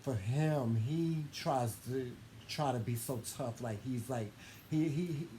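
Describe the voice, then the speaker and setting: A man of middle pitch chats through a personal story. He is openly affectionate and gushing, often repeating and restarting a phrase for emphasis. Faint noisy ambience sits behind his voice. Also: slow, mellow. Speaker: co-host telling a couple's story. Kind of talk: casual conversational storytelling. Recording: clearly noisy room ambience.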